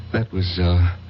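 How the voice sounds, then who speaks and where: An actor in an old-time radio drama playing a haunted, guilt-ridden man, confessing intensely with halting dramatic pauses. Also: low-pitched, a little hiss or hum. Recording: muffled vintage radio recording.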